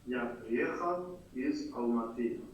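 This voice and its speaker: A Kazakh-speaking man from Kazakhstan learning Russian, answering in hesitant, halting repetitions. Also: mid-range voice, expressive. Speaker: language student. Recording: echoey room, distant mic, faint noise.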